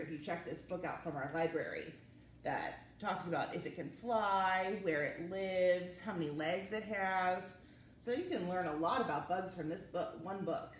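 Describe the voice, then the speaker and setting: A woman reads and chats to small children as a library story time presenter. Her delivery is very lively and singsong, with an encouraging way of addressing young listeners. The recording is roomy and somewhat distant, with faint noise behind her. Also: on the low side. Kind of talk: children's read-aloud and chat to young viewers.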